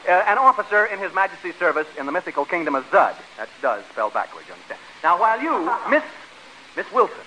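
A man with a high-pitched voice hosts an old-time radio game show. He is jovial and teasing and tends to stammer through his banter. The broadcast is old and clearly noisy. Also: very animated, fast talker, pushed and bright. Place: radio show stage before an audience.

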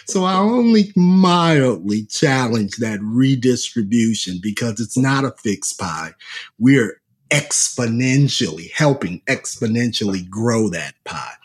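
A man in a conversational discussion, measured and good-humored in the way he makes his points. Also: mid-range voice, expressive, husky.

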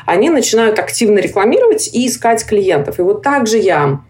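A woman's voice: medium pitch, fairly quick. Chatty Russian woman telling her story. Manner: lively storytelling with frequent fillers. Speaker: podcast guest, tech sales manager. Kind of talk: podcast conversation.

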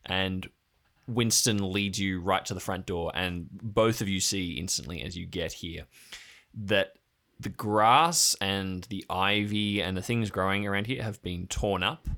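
Low, bright-voiced male game master. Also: measured pace. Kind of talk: improvised tabletop game narration.

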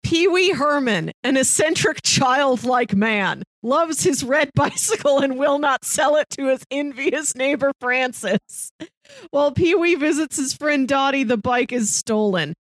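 A woman co-hosting a podcast, playfully pitching and chatting about films, chuckling as she talks. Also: lively intonation, unhurried, no room sound, rough recording. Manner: chuckles mid-sentence, playful. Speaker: podcast co-host. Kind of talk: casual film podcast chat, pitching films and reading blurbs.

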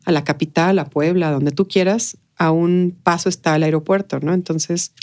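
A woman with a Mexican Spanish accent talking casually as an interview guest, expressive and colloquial, often checking in with little tag questions. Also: fairly low pitch, moderate pace.

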